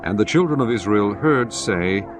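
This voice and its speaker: A man narrating scripture in a measured, solemn scripted reading. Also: on the low side, lively intonation. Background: music underneath.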